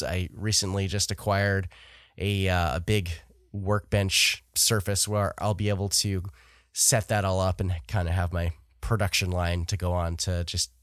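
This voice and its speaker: A man's voice: fairly low pitch, clean tone, no echo, studio quality. A man hosting his podcast in a casual, chatty way, sounding nasal and stuffed up from a cold. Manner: relaxed, chatty, frequent uh fillers. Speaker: podcast host. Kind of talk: casual solo podcast chat.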